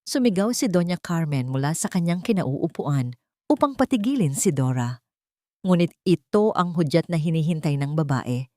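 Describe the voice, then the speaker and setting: A woman narrating a scripted dramatic story in a measured, theatrical storytelling manner. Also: deep voice, a little mellow, dry recording, studio quality.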